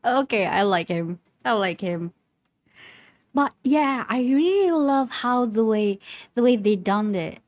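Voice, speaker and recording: A woman giving casual live reaction commentary as a streamer, haltingly, often repeating words and phrases. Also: on the high side, expressive, no echo. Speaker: streamer reacting to game trailers.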